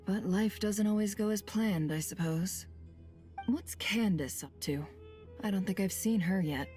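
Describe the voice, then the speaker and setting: A woman voicing a shrewd, cool woman boss in a video game, measured and sardonic. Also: mid-range voice, warm tone, soft background music, natural voice. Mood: wry. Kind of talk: scripted video game voice acting.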